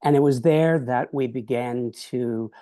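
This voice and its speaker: A man who is losing his voice speaks as a professor and regional officer on a video call, halting often with "uh" and restarts. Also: medium pitch, dark tone, no echo, studio quality. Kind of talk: unscripted remarks on a video call.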